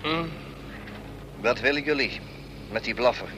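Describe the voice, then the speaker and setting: An actor playing a gruff, unflappable veteran pilot in a Dutch radio play, laconic and deadpan with grunted hums. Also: male voice, medium pitch, unhurried. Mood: wry, unimpressed. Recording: noisy radio play, engine ambience.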